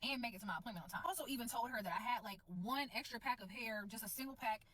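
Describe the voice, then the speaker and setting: Very fast-talking woman telling a story. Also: fairly high pitch, bright, forceful tone. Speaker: vlogger telling a personal story. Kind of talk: casual storytime to camera.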